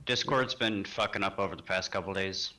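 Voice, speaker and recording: A man's voice: sits low, a little fast, sharp tone. A man playing a tabletop game, chatting casually with dry, cocky, sweary banter. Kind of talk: casual chat.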